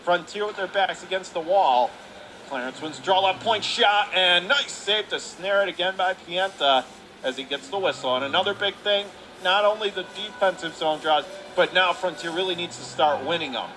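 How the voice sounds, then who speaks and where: High-pitched hockey announcer, man, noisy rink. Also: normal speed, sharp tone. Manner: urgent calls of the action in clipped phrases. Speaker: radio play-by-play hockey announcer. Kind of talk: live hockey commentary. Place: indoor ice hockey arena. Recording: clearly noisy live rink recording.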